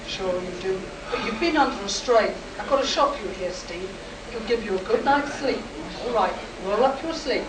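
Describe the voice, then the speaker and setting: A woman's voice: mid-range voice, lively intonation, normal speed, close to an everyday voice. A woman playing a composed, sceptical air base medic in a live sci-fi radio play. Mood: soothing. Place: live convention performance, recorded from the room. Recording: echoey, distant, noisy room recording.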